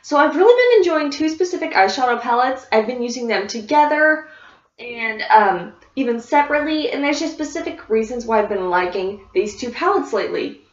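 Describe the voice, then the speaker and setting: A woman's voice: on the high side, expressive, fairly quick. Congested woman chatting in an echoey room. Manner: rambling, many fillers and restarts. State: congested, sniffly. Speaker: beauty vlogger. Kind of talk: casual product review chat. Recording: echoey room, dull lo-fi sound.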